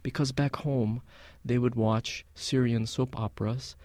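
A man of Palestinian background, a guest in an interview, telling stories in a measured, reflective way with frequent fillers. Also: medium pitch, steady tone, darkish tone, no echo. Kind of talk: conversational interview.

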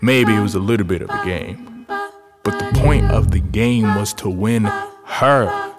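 A man's voice: fairly low pitch, unhurried, vocal fry. A man speaking a radio theater monologue as a young man guarded about falling in love, in an intimate, reflective spoken-word style. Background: loud music.

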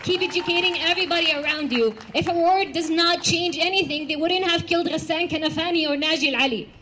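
Young woman, from Gaza, rally speech, earnest storytelling, high-pitched, faint background noise. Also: brisk. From Gaza, Palestine. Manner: earnest, personal storytelling with fillers and restarts. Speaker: rally speaker. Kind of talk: outdoor protest speech. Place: outdoor rally.

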